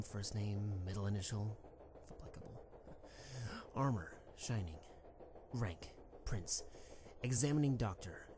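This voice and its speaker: A woman playing a young pony prince and fond husband, a protective worrier, conversational and earnest in an amateur audio drama. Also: very deep voice. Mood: muttered reading. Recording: slightly noisy amateur microphone recording.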